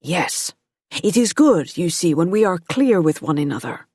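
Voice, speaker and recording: A woman putting on a suave French-accented nobleman who needles with polite mockery, pitched up and ringing out over her dry narration. The recording is close and dry. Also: very animated, normal speed, darkish tone, slightly rough, dry recording. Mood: ironic. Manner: cool, mocking courtesy.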